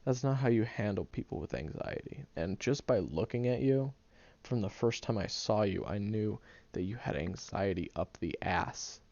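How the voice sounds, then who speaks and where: A man voicing a gruff, sarcastic young man who secretly cares, blunt and profane but gentle underneath, groggy from just waking up, in an audio roleplay. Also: on the low side, normal speed, dry recording, muffled recording, close to an everyday voice. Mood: lecturing. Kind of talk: audio roleplay monologue to the listener.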